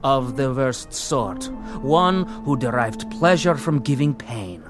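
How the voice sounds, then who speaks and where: A male actor playing a ghostly former prisoner in a radio drama, measured, taunting and menacingly polite as he accuses. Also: mid-range voice, animated, over music. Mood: accusing.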